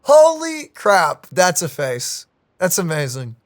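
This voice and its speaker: Animated young woman reacting to a game. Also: on the low side, slow pace. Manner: animated, slangy exclamations. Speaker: gaming streamer. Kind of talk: casual reactive gameplay commentary. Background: video game music.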